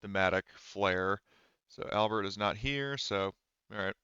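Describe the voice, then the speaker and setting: A man's voice: lowish voice, a little slow, bright, forceful tone, clean tone, no room sound, highs cut off. A man casually chatting as he runs a tabletop roleplaying game. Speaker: game master. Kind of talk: casual game-running chat.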